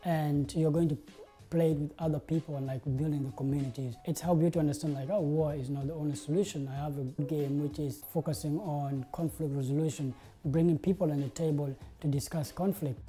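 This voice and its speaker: A man's voice: on the high side, fairly even intonation, a little fast, dark tone, faint music behind. A young man tells his story to the camera in an earnest way, using many "uh" and "like" fillers. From South Sudan. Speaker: interviewee, game designer. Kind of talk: interview monologue to camera. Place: indoor studio with plain backdrop.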